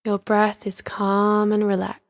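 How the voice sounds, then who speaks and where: Slow-talking woman guiding a meditation. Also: mid-range voice, completely flat delivery, no echo, clean studio sound. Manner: soothing, measured, instructional, with gentle pauses. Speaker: guided meditation teacher. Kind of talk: guided yoga nidra relaxation meditation.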